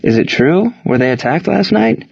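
A man voicing a fussy, complaining baseball pitcher in an audiobook. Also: normal speed, muffled recording, close to an everyday voice. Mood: worried.

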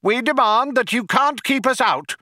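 A man's voice: very high voice, steady tone, moderate pace, sharp tone, no room sound, studio quality, pitched above the performer's own voice. A man voicing a hotheaded, absurdly demanding young philosopher, bawling in a pompous, overexcited way. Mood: outraged, bawling.

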